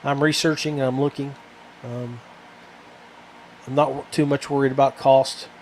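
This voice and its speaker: A man hosting a podcast alone in casual, rambling chat, often halting and repeating words. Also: mid-range voice, little pitch movement, darkish tone. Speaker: podcast host. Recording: clear background noise.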